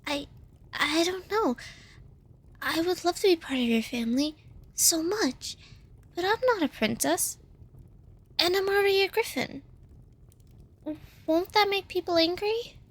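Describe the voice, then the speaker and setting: Woman playing teenage griffin girl, audio roleplay, high voice, unhurried, halting, humble, earnest. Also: lively intonation. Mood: stunned, hesitant. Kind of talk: scripted audio roleplay drama.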